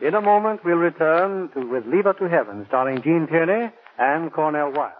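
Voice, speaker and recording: A man's voice: on the high side, lively intonation, normal speed. A man announcing in formal 1940s radio style, on a tinny old broadcast with music behind him.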